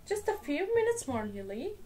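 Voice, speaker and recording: A woman's voice: very high-pitched, big pitch swings, moderate pace, darkish tone, faint music behind, voice pushed up. A woman voicing a patient but exasperated father in a children's storybook, explaining things with pleading emphasis. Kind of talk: storybook character voice.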